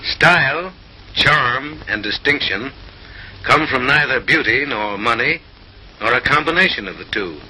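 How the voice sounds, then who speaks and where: A man's voice: medium pitch, lively intonation, a little slow, sharp tone, slight background noise, poor audio. A man narrating in a mid-century comic newsreel style, with an arch, mock-refined, theatrical delivery, on a vintage film soundtrack.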